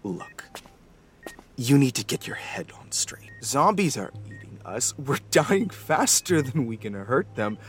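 A woman's voice: very deep voice, sing-song, unhurried, exaggerated voice. A woman playing a serious, exasperated researcher in an audio drama, stern and intense. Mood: stern frustration.

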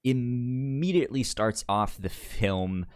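A man co-hosting a casual film discussion podcast, speaking with a pronounced stutter that repeats sounds and syllables in a halting delivery. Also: mid-range voice, animated. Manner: halting, hesitant delivery with frequent restarts. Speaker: podcast co-host.

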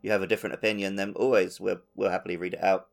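Casual male podcast co-host, slightly creaky voice. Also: low voice, little pitch movement, racing. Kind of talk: casual gaming podcast chat.

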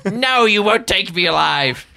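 A manic little gnome rebel as a man acts him out, pitched high and loud. The voice is strained and piercing, full of defiant bravado, in an improvised fantasy drama. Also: animated, unhurried, gravelly, full character voice. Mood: desperate defiance.

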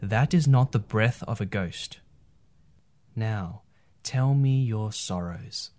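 A man reading aloud and voicing a vast, solemn lion who speaks unseen in the dark, slow and grave. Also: on the low side. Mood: gentle.

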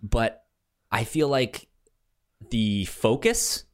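Male podcast co-host: slow, halting, medium-pitched, casual and chuckly.

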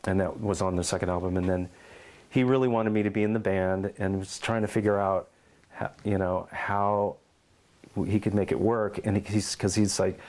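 A middle-aged man in an oral history interview at his home, telling lively stories and acting out quotes. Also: sits low, normal speed. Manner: animated storyteller, acts out quotes and meows.

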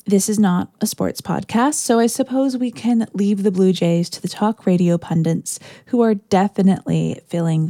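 A woman's voice: fairly low pitch, warm tone, dry recording, studio quality. A woman, a minister hosting a podcast, giving a scripted introduction with casual asides. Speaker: podcast host and church minister.

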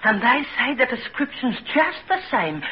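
Anxious, humble mother, high-pitched, very animated, unschooled and deferential, muffled 1940s radio serial. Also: normal speed, slight background noise. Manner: deferential, fretful, uneducated grammar. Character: anxious, humble working-class mother of a lame child. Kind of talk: 1940s Australian radio drama acting.

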